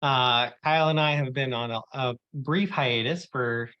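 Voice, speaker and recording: A man's voice: medium pitch, measured pace, clear, resonant tone. A man, co-hosting a user group session on a video call, chatty and teasing with many fillers. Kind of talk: casual video call presentation. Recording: muffled lo-fi call audio.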